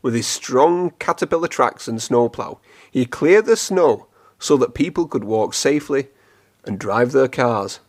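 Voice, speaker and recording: Lively, low-to-mid male storyteller. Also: measured pace. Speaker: storybook reader. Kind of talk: expressive children's story read-aloud.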